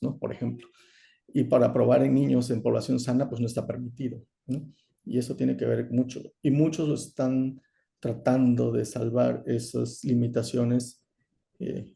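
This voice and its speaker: A man giving an online lecture over a video call, explaining in a measured way with frequent "eh" and "¿no?". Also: medium pitch, mellow. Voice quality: gravelly. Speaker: course lecturer.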